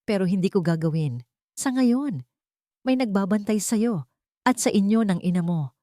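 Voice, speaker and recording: A woman's voice: medium pitch, lively intonation, normal speed, warm tone, no echo, studio quality, close to an everyday voice. A woman narrator voicing a bitter, vengeful older woman seeking justice in a story. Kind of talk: dramatic storybook dialogue.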